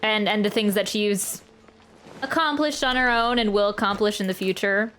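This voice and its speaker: A woman's voice: mid-range voice, normal speed, a bit bright, slight background noise, poor audio. A woman streamer giving casual, thoughtful live commentary on a game, often searching for her words. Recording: game music behind the voice.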